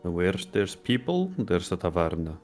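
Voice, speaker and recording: A male player with a very low voice talks in an easygoing, joking way. Faint music plays behind him. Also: sing-song, normal speed, a little mellow. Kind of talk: casual roleplay chat.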